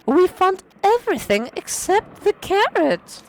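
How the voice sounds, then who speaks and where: A hoarse woman voicing a cheeky, boastful young female witch student. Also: very high-pitched, measured pace, voice pushed up, full character voice, rough recording. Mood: excited. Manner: bratty, boastful, raised excited delivery.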